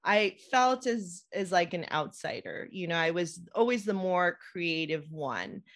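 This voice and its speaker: A woman from the United States hosting a podcast, talking in an animated, excitable way with plenty of fillers. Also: lowish voice, unhurried. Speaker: podcast host, nurse practitioner. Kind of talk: casual podcast interview.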